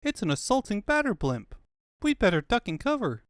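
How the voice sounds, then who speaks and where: A man's voice: high-pitched, sing-song, normal speed, no room sound, clean studio sound, pitched above the performer's own voice. A man voicing a chipper young male fox sidekick with quippy, innocent questions in a comic book fandub. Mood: worried, quipping.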